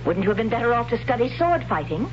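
Blunt, pious warrior woman, fairly high and very lively in pitch; hissy dull vintage radio. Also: quick pace. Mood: earnest. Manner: blunt and dismissive, then curious. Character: young warrior woman captor, matter-of-fact, pious. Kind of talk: 1970s radio drama acting. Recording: dull old radio broadcast with hiss.

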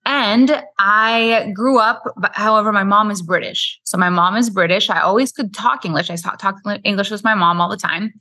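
A woman's voice: mid-range voice, normal speed, hardly any pauses, bright, forceful tone. A woman from Quebec whose first language is French, a podcast guest telling her life story in a candid, flowing way. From Quebec, Canada. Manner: candid, flowing storytelling with frequent "like" and "you know". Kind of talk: storytelling interview.

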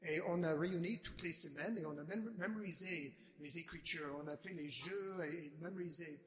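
A man from the United States with an American accent, speaking halting second-language French as a guest giving a testimony, restarting often and slipping in English words. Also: a little high, fairly bright tone. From United States (Chicago). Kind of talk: unscripted personal testimony.

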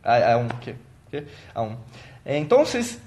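A man whose first language is Portuguese, hosting a live stream as a motivational coach. He talks in a halting way, with many fillers and restarts, and thanks viewers by name. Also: medium pitch, very animated, darkish tone.

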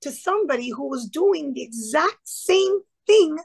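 High gravelly woman telling her story. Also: unhurried. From Cuban family background. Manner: earnest, animated, quoting others in lively asides. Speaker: guest, former classroom teacher. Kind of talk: conversational storytelling.

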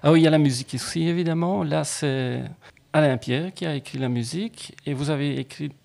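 A man's voice: fairly high pitch, a little fast, gravelly, darkish tone. A man hosting a music interview podcast, who asks courteous, formal questions and gives brief acknowledgements. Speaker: radio interviewer, host.

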